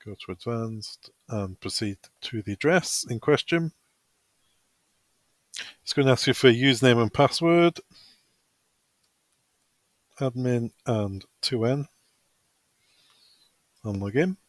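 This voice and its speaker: Man, mid-pitched voice, dry close recording, informal tutorial delivery, practical, step-by-step instruction. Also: animated, slow pace, long pauses, no room sound. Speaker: product tutorial presenter. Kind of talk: step-by-step software walkthrough, semi-improvised.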